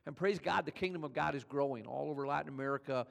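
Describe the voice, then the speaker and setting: An older pastor from California preaching a Sunday sermon. His voice sits a little high and has a gravelly fry to it. His words ring out with a long tail of reverb from the hall. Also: fast. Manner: conversational preaching, builds in pitch and volume as he tells the story.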